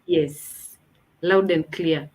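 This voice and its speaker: A woman's voice: low voice, fairly even intonation, extremely slow, lo-fi sound. A woman hosting a casual online launch party over a video call, chatty and thinking aloud as she talks. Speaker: host.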